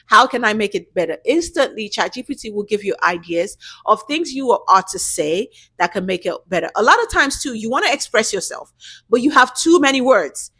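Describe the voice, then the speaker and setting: A woman hosting her own channel talks straight to the camera in a casual tutorial. Her voice sits at an average pitch, and her tone is direct and coaching as she urges viewers on. English is her second language. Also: pushed and bright, slightly rough. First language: not English. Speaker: YouTube channel host giving business grant tips.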